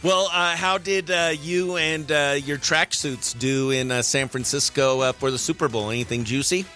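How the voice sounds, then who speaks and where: Bright, higher-voiced male radio host. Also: fairly even intonation, moderate pace, soft background music. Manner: jokey, chatty. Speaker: radio morning show host. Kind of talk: casual phone-in chat and show patter.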